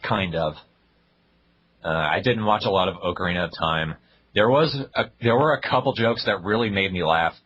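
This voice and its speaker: A man's voice: deep voice, moderate pace, fairly bright tone, husky, muffled recording. A young man co-hosting a casual podcast, reviewing web videos in a blunt, dry way. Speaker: podcast co-host.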